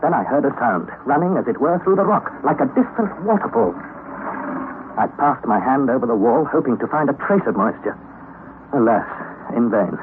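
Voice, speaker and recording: An actor playing an earnest young man who narrates his own expedition in a vintage radio drama. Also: fairly high pitch. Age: young adult. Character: young male explorer narrating, earnest and anxious. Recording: tinny old radio broadcast, faint hiss.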